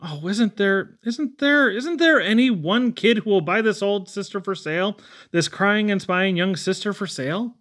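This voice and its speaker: A man's voice: high-pitched, animated, normal speed, a bit bright. A man giving a playful, theatrical reading of children's poetry. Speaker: poetry reader.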